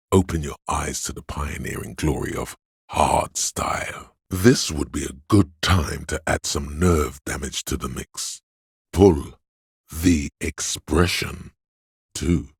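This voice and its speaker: A man voicing a video game's inner voice of addiction, a seductive, hedonistic tempter who coaxes and drawls in a deep, gravelly, husky voice. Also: dry recording, studio quality, heavily acted. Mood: grandiose, savoring. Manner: coaxing, insinuating, drawling. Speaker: video game voice actor. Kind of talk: theatrical second-person game narration.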